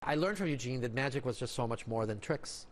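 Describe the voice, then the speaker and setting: A man's voice: mid-range voice, lively intonation, fairly quick, slight background noise. A man from Mexico whose first language is Spanish, speaking earnestly and reflectively in an interview. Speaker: magician and physician, interviewee. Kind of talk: interview soundbites.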